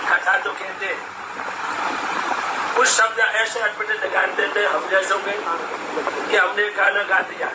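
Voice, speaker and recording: A man's voice: sits high, steady tone, measured pace, pauses often. An elderly man, a religious storyteller, answering interview questions informally in a rambling way that repeats phrases. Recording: noisy echoey call recording, distant voice.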